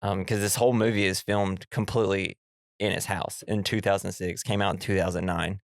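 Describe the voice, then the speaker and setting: A man's voice: sits low, level tone, brisk, vocal fry, no room sound. Nasal young guy w a cold, rambly podcast chat, dry close mic. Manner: rambling, laughing, acts out scares. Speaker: podcast co-host. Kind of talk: casual movie podcast chat.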